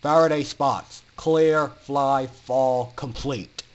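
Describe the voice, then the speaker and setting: A man voicing a stern, callous flight instructor in a dramatic story reading, calling out loud, clipped, menacing commands. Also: medium pitch, fairly even intonation, slow pace, muffled recording, natural voice. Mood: cold, commanding. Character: stern, callous male flight instructor pony barking orders.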